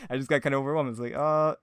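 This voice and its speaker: A man's voice: medium pitch, fairly even intonation, fast, fairly bright tone, clean tone, dry recording, clean studio sound. A man talking casually as a podcast guest, with a self-deprecating, hesitant way of phrasing things. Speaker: podcast guest, audio drama creator. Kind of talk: casual chat.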